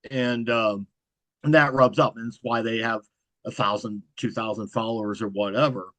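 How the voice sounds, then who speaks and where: A man as a guest in a casual interview. He speaks in a self-described monotone, even manner. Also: on the low side, normal speed, dry recording. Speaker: guest, horror content creator.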